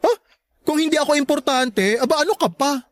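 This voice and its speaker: Sassy, animated campy best friend acted by a woman. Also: sits high, brisk, dry recording, clean studio sound. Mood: mocking. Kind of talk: Tagalog radio drama acting.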